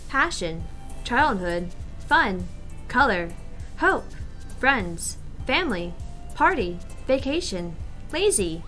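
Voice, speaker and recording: A woman's voice: medium pitch, sharp tone. Woman chatting casually on a game stream. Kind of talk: casual chat while reading word lists aloud during a gaming stream.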